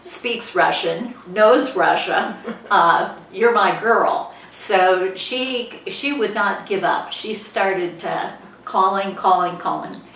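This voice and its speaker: An older woman with an American accent gives an informal book talk as a guest author, speaking haltingly with frequent pauses mid-sentence. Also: medium pitch, fairly bright tone. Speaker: guest author and former newspaper editor. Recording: echoey room, distant mic, background noise.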